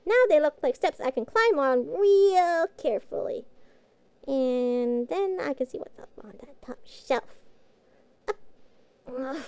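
A woman playing a childlike, guilt-ridden catgirl pet in a fantasy audio roleplay, speaking in halting, pleading, naive phrases. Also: high voice, a little mellow, exaggerated voice. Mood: careful, musing.

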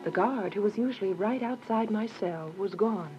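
An actress playing a devout, earnest young woman imprisoned for her faith in a religious radio drama, emotive and deliberate. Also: medium pitch, lightly acted. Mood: solemn. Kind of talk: religious radio drama acting with first-person narration. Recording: dull lo-fi radio drama with music.

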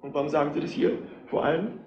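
An actor playing an agitated, defensive suspect in a retro crime drama, indignant and flustered, on a noisy, echoey, distant old soundtrack. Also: male voice, fairly high pitch, fast, darkish tone, muffled recording, lightly acted. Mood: indignant, wounded.

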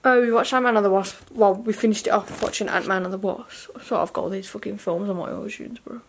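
A woman's voice: medium pitch, fairly even intonation, quick pace. Sweary young woman vlogging to camera. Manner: sweary, rambling, slangy. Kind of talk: casual vlog chat. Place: hotel room, indoors. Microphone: phone or camera at arm's length.

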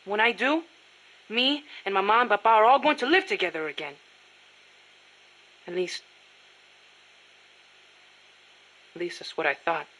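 A woman's voice: medium pitch, lively intonation, moderate pace, fairly bright tone, rough recording. A woman voicing an earnest, proud young boy guerrilla in a video game, youthful and hesitant when flustered. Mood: wistful, subdued. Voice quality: youthful, boyish.

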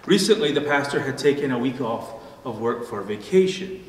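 A middle-aged or older man, a pastor, delivering a sermon with measured, deliberate phrasing. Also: medium pitch, expressive. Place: church room at a wooden pulpit. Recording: echoey church hall, distant mic, faint noise.

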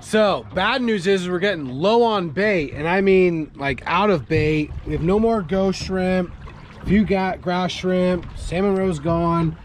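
A man's voice: high-pitched, a little slow. A man in his thirties on a small fishing boat, chatting casually to an action camera held at arm's length and explaining what he is doing. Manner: relaxed, chatty, explains as he goes. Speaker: fishing vlogger. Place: outdoors on a small fishing boat on open water. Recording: outdoor camera audio with boat noise. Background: boat and water noise.

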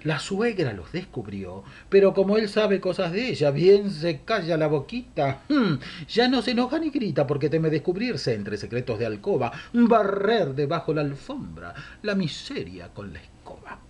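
A man's voice: a little high, big pitch swings, moderate pace, a little mellow, breathy edge. A man in his sixties with a Rioplatense accent, reciting a humorous rhymed monologue at home into a webcam, theatrical and mock-gossipy with chuckling asides. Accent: Rioplatense Spanish. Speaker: monologue host. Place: home room, indoors. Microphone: webcam at desk.

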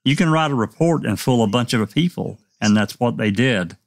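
Male host, gravelly voice, dry close sound, casual and hedging. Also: mid-range voice, animated, moderate pace, no echo. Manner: reads viewer chat aloud, hedging with fillers. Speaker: livestream discussion host. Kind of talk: casual panel discussion.

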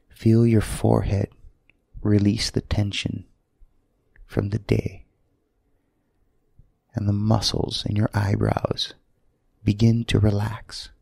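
A man leading a guided sleep meditation in a soothing, gentle manner, leaving long pauses between short phrases. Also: lowish voice, gravelly, no room sound.

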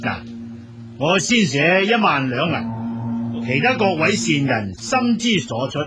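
High-pitched, animated rich patron. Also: male voice, a little slow. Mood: respectful, brisk. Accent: Hong Kong Cantonese. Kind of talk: radio drama acting. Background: music.

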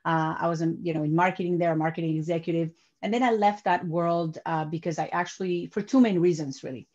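Guest, woman in her 40s, lively and repeats words as she talks, muffled video-call sound. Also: fairly low pitch, fast. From Peru. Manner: animated, repeats words while building sentences. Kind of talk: interview over video call. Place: home office. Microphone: webcam on video call. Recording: dull lo-fi video call audio.

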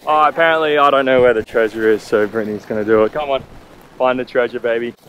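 A man's voice: mid-range voice, fast talker, a bit bright, faint music behind, poor audio. A young Australian man joking casually to camera as a sailing vlogger, outdoors on an island and a sailboat. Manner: joking, laid-back banter.

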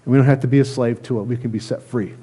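A middle-aged American man giving a sermon as a pastor, speaking earnestly and haltingly, often repeating phrases. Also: medium pitch, steady tone, warm tone, slightly rough. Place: church sanctuary, indoors at a lectern.

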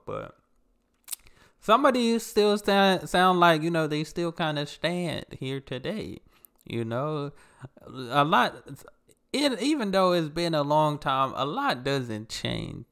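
A man's voice: on the high side, vocal fry, a bit bright. A young American man hosting a reaction video, talking casually with easy laughs and slang into a headset mic. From United States. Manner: laid-back, laughing, slangy. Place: small room indoors. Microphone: gaming headset.

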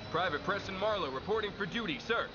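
A man's voice: high voice, expressive, quick pace, dull audio, over loud music. An actor playing a polite, hesitant new army private, deferential to his superior, in a video game. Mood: unsure, polite. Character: polite, unsure male army private, newcomer. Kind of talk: video game military acting. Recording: loud vehicle and outdoor noise behind.

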